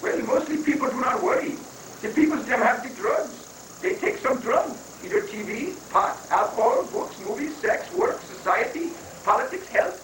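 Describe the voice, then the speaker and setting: A high-voiced, coaxing guru played by a man counsels patiently in stilted, halting phrases. It is a satirical play reading, heard from off the mic in an echoing, noisy room. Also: big pitch swings, a bit bright, exaggerated voice. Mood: soothing explaining. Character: calm, coaxing male guru advising a president. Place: roomy indoor live reading, far from the mic. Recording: roomy, distant, noisy 1980s live recording.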